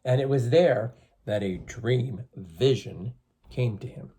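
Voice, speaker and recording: A reader with a slightly husky voice, reading a picture book aloud to young children and explaining things as they go. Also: medium pitch, takes it slowly, warm tone. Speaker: storybook reader for schoolchildren.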